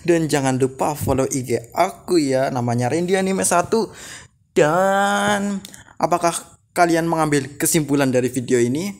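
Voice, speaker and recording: A man's voice: fairly high pitch, normal speed. A man hosting a casual vlog, reading stories aloud and adding informal commentary in his own words. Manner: informal, reads aloud then paraphrases and reacts. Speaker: video creator, host.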